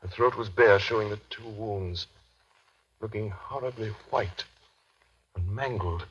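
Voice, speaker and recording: An actor playing an earnest, anxious young doctor in a 1930s radio drama, with a solemn, measured delivery. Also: male voice, lowish voice, fairly bright tone, a little hiss or hum. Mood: solemn, haunted. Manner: solemn, measured diary narration. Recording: dull vintage 1930s radio recording.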